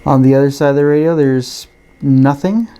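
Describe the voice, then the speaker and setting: Guy doing a casual gadget demo, really mellow soft tone, unhurried plain explaining. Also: medium pitch, clear, resonant tone. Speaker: gadget reviewer. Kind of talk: casual product demonstration.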